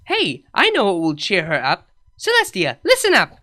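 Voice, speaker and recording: Woman playing a teasing pony princess, smug, dry, bright tone, lively pitch swings, comic storybook. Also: moderate pace, faint music behind.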